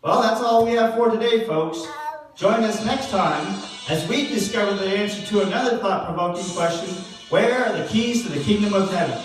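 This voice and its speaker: Man as a high-voiced talk-show host puppet, echoey. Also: normal speed, soft background music, natural voice. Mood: upbeat, sincere. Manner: presenterly, addresses audience and guests. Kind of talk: church puppet show acting as chatty host. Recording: very echoey hall, distant mic, faint noise.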